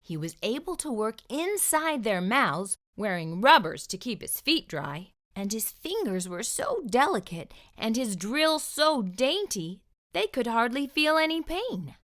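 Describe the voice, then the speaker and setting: Woman, children's read-aloud host, unhurried pace, bright voice, expressive storytelling. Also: fairly high pitch.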